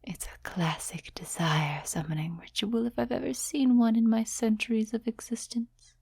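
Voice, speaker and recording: Woman acting a breathy, whispering ancient demon temptress. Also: fairly high pitch, dry recording. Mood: amused, condescending. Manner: slow, teasing, soft-spoken whispering. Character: ancient seductive demon, mocking, amused, condescending to mortals. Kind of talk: whispered ASMR roleplay. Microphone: close, dry microphone.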